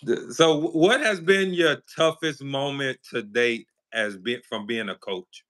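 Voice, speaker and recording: A man from small-town Louisiana hosting a casual interview, relaxed and quick to laugh. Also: mid-range voice. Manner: relaxed, laughs often, loose Southern phrasing. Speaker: interview host.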